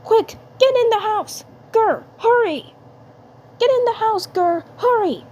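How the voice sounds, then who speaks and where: A woman's voice: very high voice, animated, takes it slowly, a little mellow, pitched above the performer's own voice, full character voice. A woman voicing a bossy male alien invader in a cartoon story, repeating commands. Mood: urgent. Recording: clear background noise.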